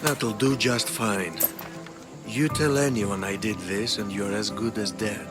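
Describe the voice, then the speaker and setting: An actor playing a gruff, threatening Athenian guard in a video game, curt and menacing. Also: male voice, lowish voice, fairly even intonation, measured pace, over music, close to an everyday voice. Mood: sly, menacing. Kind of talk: video game cutscene acting.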